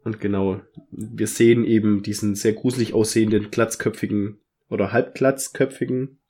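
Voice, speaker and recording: A man's voice: on the low side, flat delivery, normal speed, mellow, slightly rough. A man with a cold, summarizing a book chapter in a casual podcast chat with many fillers. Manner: chatty, with many fillers and laughs. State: has a cold. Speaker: podcast co-host.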